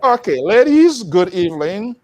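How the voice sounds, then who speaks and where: Male talk host, lively pitch, rambling live delivery. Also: moderate pace, a crowd in the background. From Liberia. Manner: rambling, animated delivery with restarts. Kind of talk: live panel show hosting.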